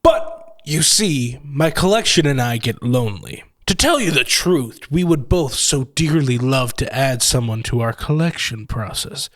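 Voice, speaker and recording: An actor playing a gloating, sardonic killer who collects machines, with mocking, theatrically polite menace in an audio horror story. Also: male voice, mid-range voice, expressive, measured pace, few pauses, a bit bright. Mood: smug, eager.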